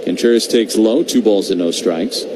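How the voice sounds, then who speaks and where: Man calling baseball over noisy radio. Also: normal speed. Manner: excited home-team calls, conversational between pitches. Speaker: baseball play-by-play announcer. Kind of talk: live radio play-by-play commentary. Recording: noisy live radio broadcast feed.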